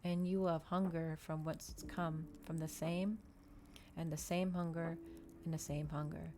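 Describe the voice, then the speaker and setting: A woman reading as a low-toned, commanding male vampire. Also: little pitch movement, a little slow, mellow. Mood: coolly persuasive. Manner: lecturing, commanding. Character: predatory male vampire, cold, mocking, seductive mentor. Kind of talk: dramatic audiobook dialogue. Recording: noticeable background noise.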